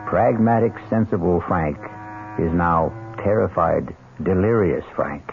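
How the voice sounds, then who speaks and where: A man narrating a mystery radio drama in a measured, deliberate, ominous manner, as the show's host. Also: low voice, vocal fry, noisy background. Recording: tinny old radio recording, loud music.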